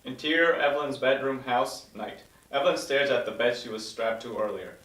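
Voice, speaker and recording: A man's voice: mid-range voice, brisk, fairly bright tone. A man reading stage directions as the narrator of a scripted table read. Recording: roomy echo, distant mic.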